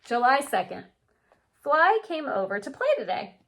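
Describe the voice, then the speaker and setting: A woman reading a picture book aloud like a teacher, with animated, drawn-out delivery and chatty asides. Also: high voice, a little hiss or hum. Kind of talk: expressive children's read-aloud with chatty asides.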